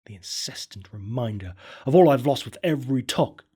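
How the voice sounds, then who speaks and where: Husky man narrating a horror story. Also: mid-range voice, animated, normal speed, mellow.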